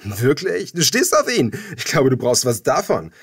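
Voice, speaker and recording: A man's voice: mid-range voice, wildly swooping pitch, normal speed, a bit bright, husky, pitched above the performer's own voice, exaggerated voice. A man voicing a cocky, lewd young gang leader in an audiobook, with a taunting, theatrical manner. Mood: mocking.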